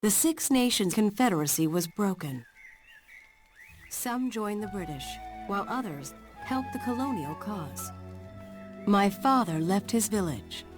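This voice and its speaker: A woman's voice: medium pitch, natural voice. Woman as a solemn, reflective storytelling daughter. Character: reflective daughter narrating her father's war deeds. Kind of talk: scripted game cutscene narration.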